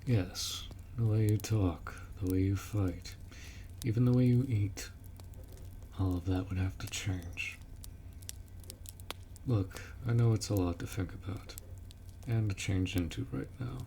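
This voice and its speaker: A man's voice: low-pitched, measured pace, long pauses, dark tone, slight background noise. A man voicing a blunt, protective orc warrior who speaks informally and firmly in a roleplay audio drama. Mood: casual, reassuring. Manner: blunt, informal, firm, instructing.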